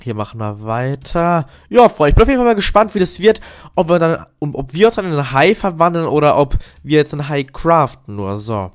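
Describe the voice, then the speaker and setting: A man's voice: on the high side, big pitch swings, a little fast, clean tone. Man with a cold, chatty gameplay commentary. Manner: chatty, upbeat, says "so" and "Freunde" often. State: has a cold. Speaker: gaming YouTuber. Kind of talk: casual Let's Play commentary.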